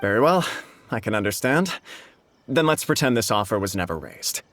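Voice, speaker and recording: An actor playing a dignified, courteous samurai official in a video game, with formal and diplomatic speech. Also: male voice, fairly low pitch, very animated, moderate pace, a bit bright. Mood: gracious.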